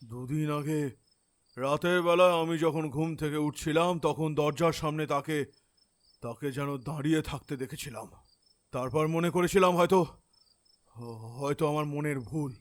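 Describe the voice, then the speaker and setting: A man playing an anxious, fearful village father in an audio horror drama, speaking haltingly and repeating his words in worry. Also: on the high side, natural voice. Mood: uneasy recollection. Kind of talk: audio horror story acting.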